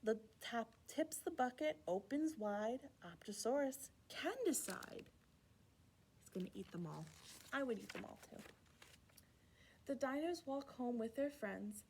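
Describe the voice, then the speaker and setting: A woman hosting a children's storytime, reading a rhyming picture book aloud in an expressive, playful way with asides to young listeners. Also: high-pitched, slight background noise. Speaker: children's library storytime host.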